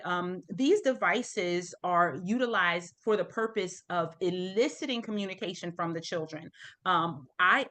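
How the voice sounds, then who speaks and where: A woman's voice: fairly low pitch, fairly bright tone. A middle-aged woman from the United States hosting an online support group, explaining informally and often checking in with her listeners. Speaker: parent support group host. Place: online video meeting. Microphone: video call.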